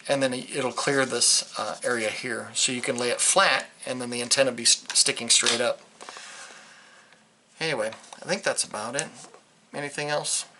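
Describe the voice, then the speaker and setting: Bright-voiced man rambling through a gadget review. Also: medium pitch, slight background noise. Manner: rambling, hesitant, trails off mid-thought. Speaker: hobbyist video reviewer. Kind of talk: casual unscripted gadget review.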